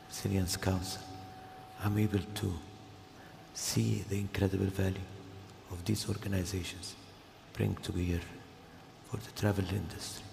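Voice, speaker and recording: An older man from Jordan speaks slowly in a very deep, gravelly voice with a flat, even delivery. He gives a formal speech, pausing between phrases. The sound is echoey and comes from a large hall. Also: background noise. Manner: slow and measured, with pauses. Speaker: guest speaker. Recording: echoey hall, heard from a distance.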